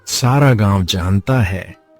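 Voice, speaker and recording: A man's voice: on the low side, slow pace, a little mellow. A man playing a weary, humble village man in a cartoon story, grave and subdued. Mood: weary, defensive. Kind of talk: Hindi cartoon story acting. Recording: music behind the voice. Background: music.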